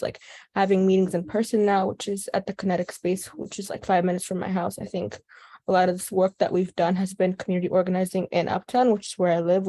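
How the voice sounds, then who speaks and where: Mellow young American woman, reflective. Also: fairly low pitch. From Chicago, US. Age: teens to young adult. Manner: casual, thoughtful, frequent "like". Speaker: youth organizer being interviewed. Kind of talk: reflective oral history interview.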